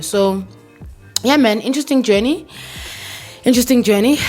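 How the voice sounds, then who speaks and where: A South African woman in her thirties talking candidly to camera in a casual vlog, slipping in local words and swearing now and then. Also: fairly low pitch. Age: 30s. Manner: candid, code-switching, swears, laughs. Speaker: vlogger.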